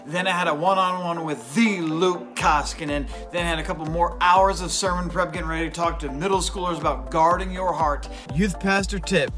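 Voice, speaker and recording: A man of forty, a youth pastor, narrating his day in a relaxed, chatty, self-deprecating way. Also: a little high. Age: 40s. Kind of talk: casual vlog narration.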